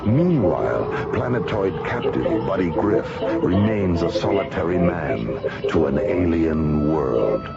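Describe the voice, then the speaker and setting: A man's voice: deep voice, expressive, measured pace, vocal fry, heavily acted. A man playing a grave, solemn narrator of a radio space adventure, speaking in a theatrical, poetic way. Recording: dull radio recording, loud music behind.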